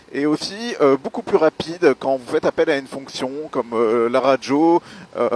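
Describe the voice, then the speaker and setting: A man giving a spoken gear review as a motovlogger, explaining things in a measured, hesitant way with pauses. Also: fairly high pitch, breathy edge. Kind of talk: spoken product review while riding.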